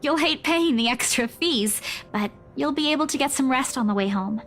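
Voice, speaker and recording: A caring young woman in a visual novel game. Her voice is high and full of friendly concern, with a faint rumble in the background. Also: lively intonation, normal speed, fairly bright tone.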